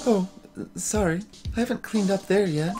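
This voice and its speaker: A woman's voice: mid-range voice, moderate pace, music in the background, close to an everyday voice. A woman voicing a timid, concerned young female assistant in video game dialogue. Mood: timid, wary. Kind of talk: reading game dialogue aloud.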